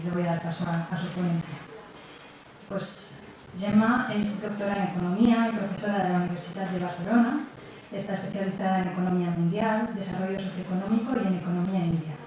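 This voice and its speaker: A woman's voice: mid-range voice, dark tone. A woman from hosting an event, giving a prepared, partly read introduction of a speaker. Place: lecture room with strong echo. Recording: very echoey room, distant mic, noisy.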